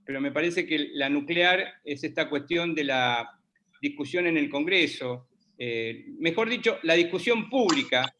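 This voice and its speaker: A man moderating an online academic panel, talking in an animated way with quick interjections. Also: fairly high pitch.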